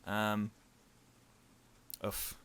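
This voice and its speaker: A man's voice: drawn-out words, a bit bright. A man reading a visual novel aloud as a gaming host, with joking, irreverent asides. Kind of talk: let's play, dramatic reading mixed with joking commentary.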